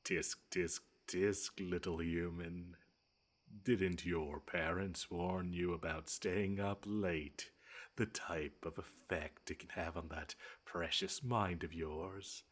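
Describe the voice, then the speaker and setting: A man's voice: deep voice, fairly even intonation, slow. A man voicing a smug, theatrical monster from under the bed, menacing and easily flustered, in an audio roleplay. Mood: slow, menacing. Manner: theatrical, taunting, haughty. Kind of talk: scripted one-sided audio roleplay.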